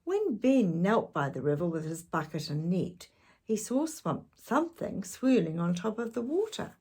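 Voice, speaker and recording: A woman reading a children's storybook aloud, with measured, expressive narration for young listeners. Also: mid-range voice, slightly rough.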